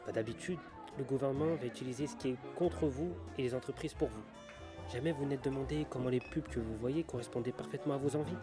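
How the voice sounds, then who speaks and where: Audio drama casting: insistent, earnest male conspiracy theorist played by a man, very quick delivery, mid-pitched, speaking in a bar. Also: close to an everyday voice. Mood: secretive, earnest. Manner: earnest, urgent explaining. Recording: music and bar chatter behind.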